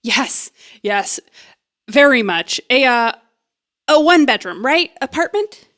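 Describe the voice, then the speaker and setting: A woman's voice: sits high, very animated, takes it slowly, fairly bright tone, heavily acted. A woman playing a nervous, eager young woman in an audio drama, rambling and hesitant as she bluffs her way along. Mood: eager, awkward. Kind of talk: naturalistic audio drama acting.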